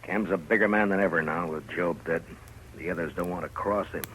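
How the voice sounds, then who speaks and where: An actor playing a principled, world-weary frontier marshal, terse and measured, in 1950s radio style. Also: male voice, deep voice, fairly bright tone, a little hiss or hum, rough recording. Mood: brooding. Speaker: series lead.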